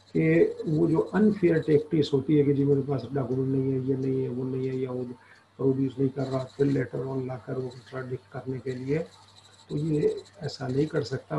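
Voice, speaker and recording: A man giving an explanatory law lesson, moving between deliberate reading aloud and informal explanation. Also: medium pitch, creaky, dark tone, a little hiss or hum, poor audio. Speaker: law lecturer.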